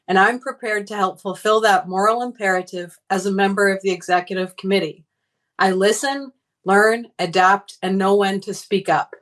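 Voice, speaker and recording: A woman delivering a prepared campaign speech as a union candidate, speaking in an earnest, deliberate, formal manner. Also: mid-range voice. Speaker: union candidate, teachers' organizer.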